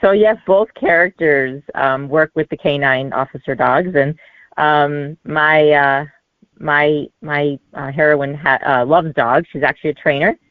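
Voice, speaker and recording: Halting low-voiced woman on a tinny line. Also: steady tone, dry recording. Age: 40s. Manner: halting, hesitant delivery. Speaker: guest author. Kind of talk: casual interview answers. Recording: narrow, tinny phone-like line.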